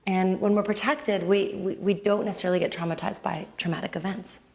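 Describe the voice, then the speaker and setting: A woman's voice: mid-range voice, fairly even intonation, fairly quick, vocal fry, a little mellow. A woman from Lebanon with a Lebanese accent, raised speaking Arabic, a guest telling her story in a reflective, casual way with fillers and restarts. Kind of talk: casual conversational interview.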